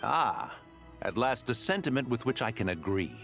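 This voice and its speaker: A man's voice: medium pitch, sing-song, moderate pace, a bit bright, soft background music. A man playing a lofty, mischievous immortal in a fantasy game, speaking in archaic, florid phrases. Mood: scheming. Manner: archaic, florid, lamenting diction. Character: lofty immortal male adeptus, theatrical and archly mischievous. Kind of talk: fantasy video game voice acting.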